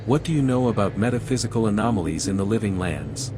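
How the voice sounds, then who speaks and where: Male fantasy game adventurer, voiced by an actor: frank, composed, slightly deep, noise behind. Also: animated, fast, natural voice. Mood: calm. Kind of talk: video game voice acting. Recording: clear background noise.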